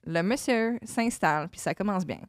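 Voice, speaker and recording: A woman's voice: medium pitch, animated, moderate pace, dry recording, clean studio sound. A woman, co-hosting a podcast and reading a letter aloud, amused and laughing between lines. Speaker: podcast co-host. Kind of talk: casual chat, reading aloud.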